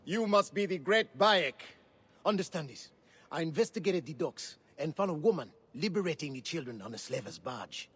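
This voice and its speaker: An earnest game scout, husky and kinda high, urgent, pitch goes all over. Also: male voice, animated, normal speed, fairly bright tone. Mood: earnest, awed. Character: earnest male scout, rescued captive of Romans. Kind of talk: dramatic video game cutscene acting.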